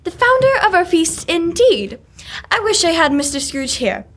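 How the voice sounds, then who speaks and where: A young woman playing an indignant, sharp-tongued wife in a radio drama, scornful and emphatic. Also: extremely high pitch, sing-song, normal speed, a little hiss or hum, heavily acted. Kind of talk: old-time radio drama acting.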